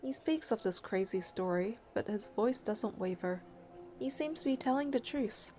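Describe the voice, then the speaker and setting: Mellow, skeptical young game heroine, acted by a woman. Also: medium pitch, animated, moderate pace, faint music behind, lightly acted. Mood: reflective. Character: practical, skeptical young heroine narrating her own story. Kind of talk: English-dubbed game acting with first-person narration.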